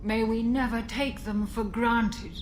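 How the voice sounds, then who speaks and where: A woman playing a seasoned, caring military doctor in a video game, with a mature, measured voice and a wry, reflective way of talking. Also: on the high side, fairly even intonation, slight background noise. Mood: reflective, somber. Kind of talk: video game cutscene acting.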